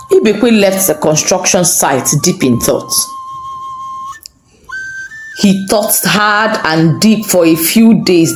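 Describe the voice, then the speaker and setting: A woman narrates a scripted folktale as a storyteller. Her intonation is animated and varied. The voice has a roomy echo, with faint music behind it. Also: on the low side.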